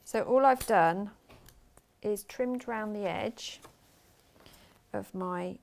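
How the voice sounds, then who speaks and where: A woman co-hosting a crafting chat, who calmly walks through sewing steps and chimes in with short replies. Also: mid-range voice, extremely slow, vocal fry. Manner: warm interjections, calm step-by-step instructions. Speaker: co-host. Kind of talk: casual chat while demonstrating sewing.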